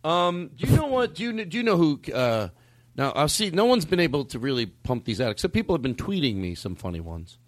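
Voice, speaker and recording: A man's voice: on the high side, very animated, fairly quick, dry recording. A man hosting a comedy podcast, joking and riffing through bits. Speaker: podcast host. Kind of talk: casual comedy chat.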